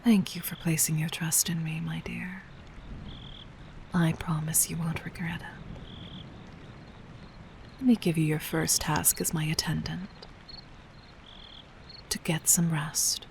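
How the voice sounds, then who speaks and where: A woman playing a gentle but commanding, seductive demon queen in an intimate audio roleplay, speaking softly and formally in a breathy near-whisper. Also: deep voice, level tone, slow pace, long pauses, background noise, exaggerated voice. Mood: tender, reassuring.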